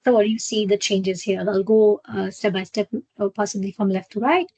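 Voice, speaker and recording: A woman presenting a live software walkthrough as a trainer, explaining step by step in a halting way. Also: mid-range voice, steady tone, slightly rough, no room sound. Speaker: software trainer hosting a webinar. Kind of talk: live screen-share product walkthrough.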